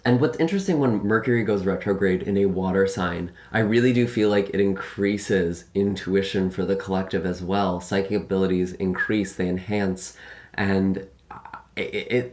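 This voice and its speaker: A young man giving a casual monthly tarot and astrology forecast to camera from a small room at home, slightly raspy from long recording, earnest with many fillers. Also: sits low, darkish tone. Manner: earnest, filler-heavy, gestures with hands. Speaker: tarot reader.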